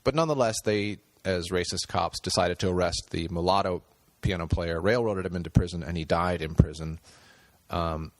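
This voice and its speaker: A very deep-voiced man speaks as a podcast guest, pausing and restarting as he searches for words. The sound is dry and close, with no room around it. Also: no echo. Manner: hesitant, with many fillers and restarts. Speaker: podcast guest, screenwriter and director. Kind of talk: casual interview talk.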